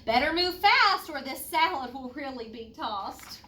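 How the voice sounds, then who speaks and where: A woman's voice: sits high, lively intonation, a little slow, sharp tone, voice pushed up, full character voice. A woman voicing a cheerful, easygoing husband and host from a storybook, breezy and emphatic. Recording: roomy, distant mic, faint noise.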